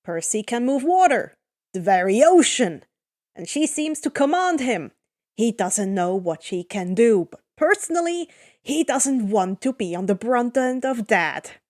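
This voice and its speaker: A woman narrates a piece of fan fiction as a dramatic story. Her voice sits a little high and she takes her time, reading at a clearly slow pace. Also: big pitch swings, darkish tone.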